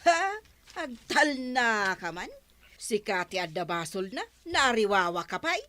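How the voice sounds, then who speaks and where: A woman's voice: medium pitch, sing-song, unhurried, sharp tone, no room sound. A woman playing a feisty, indignant village fishpond owner in an audio drama, with an Ilocano accent. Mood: angry.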